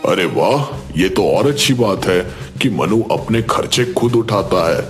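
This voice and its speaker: A man's voice: lowish voice, expressive, unhurried, faint music behind. A man playing a stern, authoritative schoolteacher who admonishes his class in a cartoon. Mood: approving, advising. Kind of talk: Hindi cartoon dialogue.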